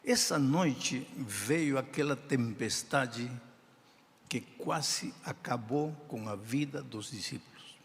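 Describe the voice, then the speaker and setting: An older man with a non-native accent in Portuguese, preaching a sermon in slow, deliberate phrases with emphatic pauses. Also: medium pitch, vocal fry. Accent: non-native speaker of Portuguese. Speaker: pastor. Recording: reverberant hall sound.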